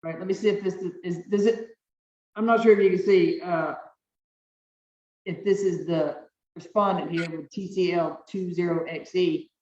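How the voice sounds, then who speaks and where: A deep-voiced woman judge runs her calendar firmly and briskly, repeating instructions to each party. Her voice sounds distant and roomy, with dull, muffled video-call audio. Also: fairly even intonation, mellow. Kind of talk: presiding over virtual court hearings.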